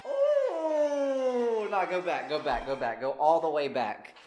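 A man in his twenties giving casual, slangy reaction commentary with animated exclamations, close on a desk microphone. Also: sits high, slow. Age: 20s. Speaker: reaction video host. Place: indoor room in front of leafy backdrop. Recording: loud music under the voice. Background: loud game trailer music.

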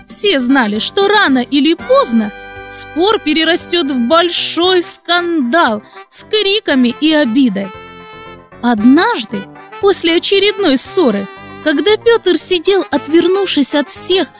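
Woman doing a sweet little girl puppet telling kids stories, super high, swoopy, slow n kindly. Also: lively intonation, fairly bright tone, faint music behind, heavily acted. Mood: storytelling. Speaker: host and storyteller. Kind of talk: expressive children's storytelling.

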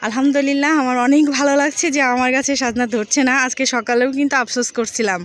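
High-voiced Bangladeshi woman vlogging outdoors. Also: fairly even intonation, fast talker. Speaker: rooftop garden vlogger. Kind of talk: casual vlog chat to viewers. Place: open rooftop garden in a city. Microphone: handheld phone behind the camera. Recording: noisy outdoor phone recording.

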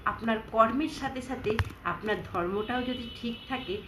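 A woman narrates a story for listeners, speaking to them directly and closing with a moral lesson. Her voice sits a bit high. The room echoes, with faint noise underneath. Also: moderate pace. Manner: warm address to listeners, moralizing close.

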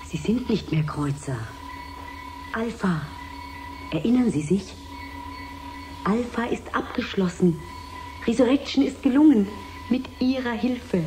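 A woman's voice: fairly high pitch, lively intonation, a little slow, long pauses. Careful, concerned woman scientist, music behind. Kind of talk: radio play acting.

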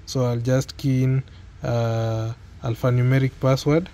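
A man with a Kenyan accent giving an unscripted step-by-step tech tutorial, speaking hesitantly with pauses and repeated phrases. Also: mid-range voice, little pitch movement, warm tone. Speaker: product tutorial presenter.

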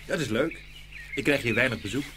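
A man acting a blunt, folksy soldier, medium pitch. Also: expressive, fairly quick, very noisy, close to an everyday voice. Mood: friendly. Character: plain-spoken, shrewd, bossy runaway soldier. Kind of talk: dramatised fairy tale acting.

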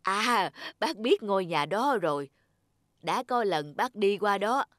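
A woman voicing a caring older cat in a children's cartoon, gently advising the young. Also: mid-range voice, animated, slow, dry recording, clean studio sound. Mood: kindly, concerned. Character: kindly older cat, caring auntly or uncle-like helper. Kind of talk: dubbed children's cartoon dialogue.

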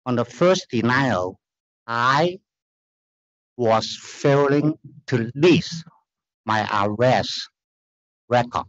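Middle-aged Chinese-accented man pleading his case at a lectern, slow and earnest, muffled sound. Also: medium pitch, lively intonation, fairly bright tone. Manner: halting non-native phrasing, earnest and insistent. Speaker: applicant appealing a denial. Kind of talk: formal hearing testimony. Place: large hearing room, standing at a lectern.

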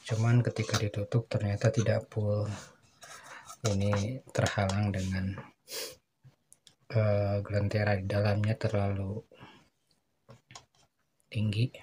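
A man hosting a casual do-it-yourself tutorial, calmly explaining each step as he works on a camping lantern at a table indoors. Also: on the low side, slow, pauses often, background noise. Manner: plain, explaining while working with his hands. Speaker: DIY camping channel host.

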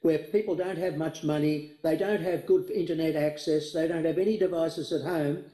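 A man's voice: a little high, fairly even intonation, moderate pace, mellow. An older man speaking as keynote speaker over a video call from his home study, thoughtful and full of restarts. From Australia. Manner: thoughtful, many restarts and repeated words. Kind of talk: answering questions in an online forum. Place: home study with bookshelves, indoors. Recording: roomy video call audio.

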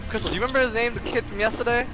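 A man's voice: very high-pitched, fairly even intonation, very fast, low-quality recording. A young man bantering loosely and profanely with friends while playing an online game. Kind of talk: casual gaming chat. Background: game music.

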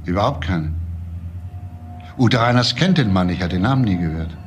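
Low-voiced, courteous police detective. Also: male voice, expressive, slight background noise. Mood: polite, probing. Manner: measured, polite, hesitant at times. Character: courteous, probing male police homicide detective. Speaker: actor. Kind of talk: German TV crime drama dialogue.

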